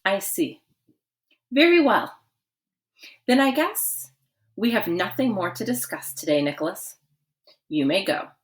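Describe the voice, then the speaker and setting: A woman voicing a stern, formal female language arts teacher in a storybook, speaking in measured phrases. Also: mid-range voice, lively intonation, pitched above the performer's own voice, close to an everyday voice. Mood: curt, resigned.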